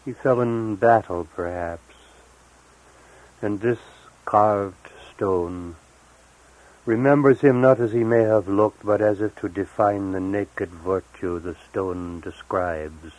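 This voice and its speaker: A man gives a poetry reading in a very deep voice. His pace is very slow, and he sets each phrase apart with deliberate pauses. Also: a little mellow, low-quality recording. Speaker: poet. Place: live recorded reading, 1978.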